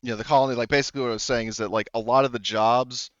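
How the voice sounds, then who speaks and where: A man working as game master, narrating a tabletop game off the cuff in a halting, rambling, self-correcting way. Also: mid-range voice, fairly even intonation, no echo, highs cut off.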